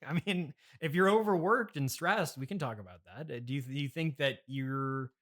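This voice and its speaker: Bright-voiced, stammering man hosting a comedy interview. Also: on the high side, normal speed. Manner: hesitant, stammering, politely incredulous. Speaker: podcast host interviewing a guest. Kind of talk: improvised comedy interview, casual.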